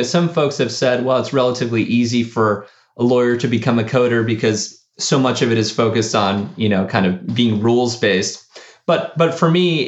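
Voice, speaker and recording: A man, a company founder, answering interview questions in a halting way with many fillers and restarts. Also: fairly low pitch, roomy sound, muffled recording. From United States.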